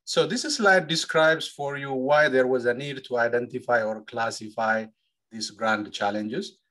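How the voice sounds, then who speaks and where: Middle-aged man from Ethiopia, engineering professor type, mid-pitched, measured webinar presenter with frequent hesitations. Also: steady tone, a bit bright.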